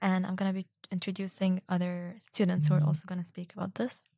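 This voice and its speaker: A woman's voice: lowish voice, monotone, fast talker, creaky, mellow, no echo, clean studio sound. A young woman from Egypt hosting a student discussion, asking questions in a friendly way with frequent filler words. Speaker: student host.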